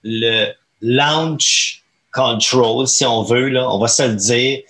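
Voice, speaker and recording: A man's voice: mid-range voice, slow pace, a bit bright. A man in his thirties with a Quebec French accent, hosting a casual podcast in a colloquial, enthusiastic way on a close microphone. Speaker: podcast host. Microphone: close studio microphone on arm, headphones.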